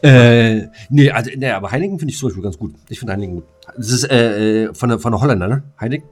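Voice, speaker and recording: A man's voice: medium pitch, fast talker. A man chatting casually as a podcast co-host, in a flippant, slangy way. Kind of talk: casual chat.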